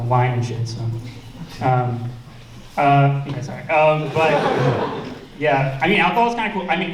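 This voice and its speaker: A man's voice: mid-range voice, noisy background. A young man from India with an Indian accent, doing a loose, rambling stand-up set. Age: young adult. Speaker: student stand-up comic. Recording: echoey room, distant mic.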